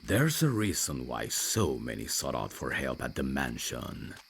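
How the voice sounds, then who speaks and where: A man playing a reserved, rational vampire messenger in an audio roleplay, dry and guarded, with a gravelly, deep voice. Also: sing-song, measured pace, a bit bright, slight background noise, full character voice. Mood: calm, serious. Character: reserved, rational male vampire messenger, over fifty years undead.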